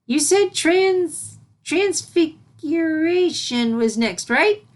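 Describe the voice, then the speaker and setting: A woman's voice: very high-pitched, animated, drawn-out words, pitched above the performer's own voice, heavily acted. A woman voicing a young unicorn filly, an eager but easily worried pupil, in a storybook reading. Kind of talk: storybook character voice in fan fiction reading.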